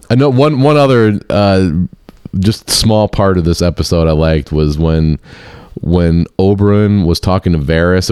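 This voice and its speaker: A man's voice: low-pitched, a little mellow, smooth, dry recording, clean studio sound. A man co-hosting a casual podcast, laid-back and blunt, swearing now and then. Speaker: podcast co-host.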